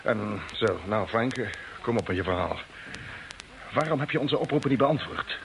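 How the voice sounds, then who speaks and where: A man's voice: medium pitch, expressive, normal speed, creaky, a bit bright, low-quality recording. A man acting a commanding, questioning spaceship captain in an old radio play, with an authoritative manner. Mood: stern, probing. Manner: authoritative, probing. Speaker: radio drama actor. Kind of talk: mid-century Dutch radio play acting. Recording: old radio recording, faint hiss.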